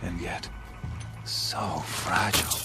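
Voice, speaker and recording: An actor playing a cold, menacing cult leader in a video game, gloating in a measured, pompous way. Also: male voice, deep voice, little pitch movement, loud music behind the voice. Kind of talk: dramatic video game acting.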